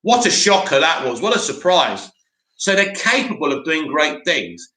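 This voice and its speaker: A man hosting a live stream, reading out viewer comments and answering them in a loud, opinionated way with lots of restarts. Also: fairly high pitch, very animated, moderate pace. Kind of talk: casual live chat. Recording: echoey room.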